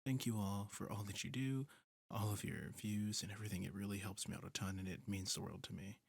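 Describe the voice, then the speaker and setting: A man rattles through a casual outro to his listeners, rushing and apologizing with plenty of fillers. His voice sits low and gravelly, with a husky edge. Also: fairly even intonation, no echo, clean studio sound. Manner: rapid, apologetic rambling with fillers. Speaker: ASMR audio creator.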